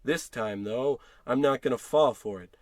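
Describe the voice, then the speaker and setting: Male actor as paranoid husband, mid-pitched, theatrical, brooding, sardonic, radio-play monologue, dry close recording. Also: moderate pace, no echo, lightly acted. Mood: seething, menacing. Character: paranoid husband unraveling over a piggy bank. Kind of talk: old-time radio suspense acting, narrated monologue.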